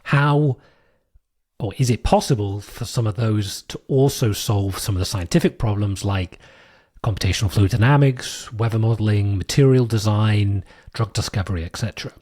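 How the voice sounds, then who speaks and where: A man with a British accent hosting his own podcast, explaining a technical topic in a thoughtful, unscripted way with many fillers and restarts, his voice a bit hoarse from a cold. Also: lowish voice, moderate pace, a little mellow. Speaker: podcast host. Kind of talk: solo explanatory podcast monologue.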